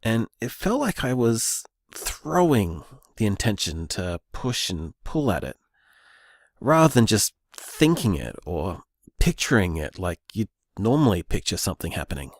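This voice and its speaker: Actor doing earnest young guy figuring out magic, lowish voice, swings up and down, dry sound. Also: unhurried, no echo, full character voice. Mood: musing. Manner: thoughtful, musing, lively delivery. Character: earnest young man discovering luck magic. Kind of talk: audio drama, voice-message monologue.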